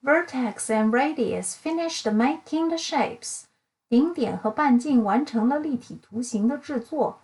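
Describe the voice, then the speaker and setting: A woman reading a picture book aloud, telling the story clearly and evenly, alternating lines. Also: high-pitched, measured pace, darkish tone, rough recording. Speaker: storybook reader. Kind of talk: bilingual read-aloud.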